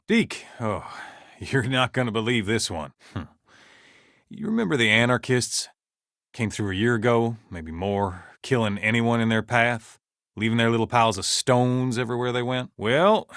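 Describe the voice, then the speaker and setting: An actor playing a gruff, stern survivalist camp leader in a video game, with an American accent and a folksy, blunt, commanding way of talking. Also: male voice, mid-range voice, a bit bright, no room sound, studio quality. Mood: wry, grave. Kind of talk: video game character dialogue.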